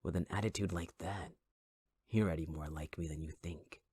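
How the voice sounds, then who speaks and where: A man voicing a scarred, possessive fire-wielding villain in an ASMR roleplay, taunting in a low half-whisper. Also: fairly even intonation, a little fast, dark tone, husky. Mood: menacing.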